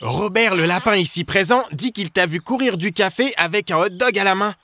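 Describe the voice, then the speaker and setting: Friendly young cartoon boy, high-pitched and bright, eager and earnest, explains things plainly. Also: expressive, fairly quick. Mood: explanatory. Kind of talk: French cartoon dub for small children. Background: music.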